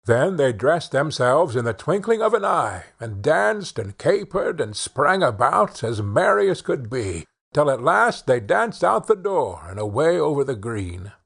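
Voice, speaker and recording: A man narrating a children's fairy tale in an expressive, measured storytelling manner, with a slightly husky voice. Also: a little high. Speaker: audiobook narrator.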